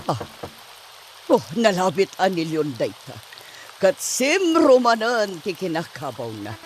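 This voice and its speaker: A woman's voice: mid-range voice, big pitch swings, measured pace, a little hiss or hum. A woman playing an exasperated character in a comedy radio drama, muttering and complaining. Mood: musing. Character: exasperated woman pestered by a forgetful old man.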